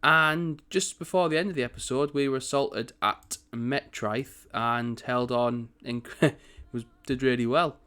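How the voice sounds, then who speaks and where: A man hosting a strategy game commentary, chatty and rambling, with a croaky morning voice. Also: medium pitch, a little slow, few pauses, bright, forceful tone. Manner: chatty, rambling, self-correcting. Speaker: gaming channel host.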